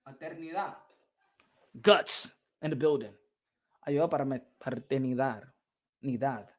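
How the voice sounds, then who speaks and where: Animated boxing host, heated and mocking, mid-pitched man from the Dominican Republic. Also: a little slow. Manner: excitable, swears, mimics others, switches into Spanish. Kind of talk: heated live-stream rant, reading chat.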